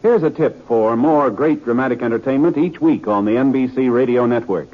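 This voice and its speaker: A man's voice: mid-range voice, fairly quick. Male radio announcer, tinny vintage broadcast. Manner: formal, polished. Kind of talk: 1950s radio announcing, scripted. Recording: tinny 1950s radio broadcast, music behind.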